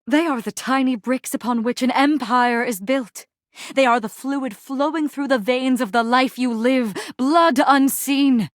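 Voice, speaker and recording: A woman's voice: on the high side, no room sound, studio quality, lightly acted. A woman voicing a battle-weary, grandiose general in a video game, speaking fervently in archaic, poetic language with theatrical delivery. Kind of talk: dramatic video game character acting.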